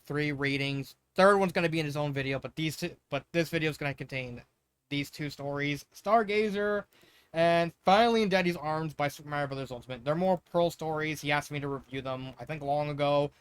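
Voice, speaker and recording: A man reads stories aloud in a casual, unpolished style. His voice sits at an ordinary pitch, and he speaks informally, halting and correcting his own slips as he moves along. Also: clear, resonant tone. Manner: informal, halting, stumbles over words and corrects himself. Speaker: fanfiction reader, channel host. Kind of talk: casual read-aloud of fanfiction with off-script asides.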